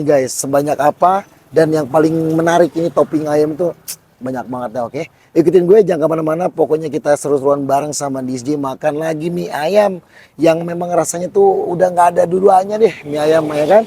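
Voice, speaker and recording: A hoarse man, excitedly vlogging about street food outdoors at a stall and calling his viewers "guys". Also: a little high. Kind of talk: casual street-food vlog.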